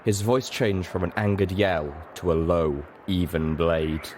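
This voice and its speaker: Deep-voiced man narrating a story. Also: steady tone, a little slow. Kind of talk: dramatic scripted first-person narration. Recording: faint background noise.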